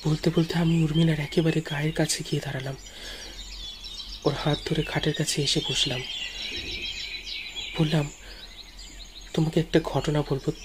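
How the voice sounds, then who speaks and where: A man's voice: a little high, noisy background. A man narrating a ghost story dramatically in the first person, as a storyteller. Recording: music behind the voice.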